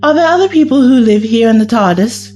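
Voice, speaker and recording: A woman's voice: a little high, lively intonation, a little fast, a little mellow, natural voice. A woman playing a kind, reassuring young time-travelling companion in an audio drama. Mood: curious. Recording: music under the voice.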